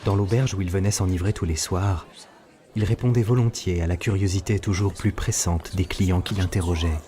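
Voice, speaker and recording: A man's voice: low voice, fairly even intonation, moderate pace, dark tone, natural voice. A man narrating a scripted tale in an audio drama, in storybook style.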